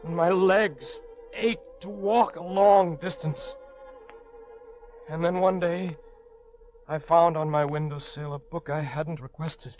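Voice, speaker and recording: A man's voice: on the high side, steady tone, slow pace, long pauses, darkish tone. An actor playing an anguished, lonely prisoner recounting his confinement in an old radio drama, with theatrical, intense delivery. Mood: weary, sorrowful. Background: music.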